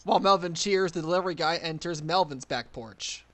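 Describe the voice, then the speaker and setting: A man's voice: a little high, brisk, a bit bright. A man reading stage directions as narrator in a scripted style.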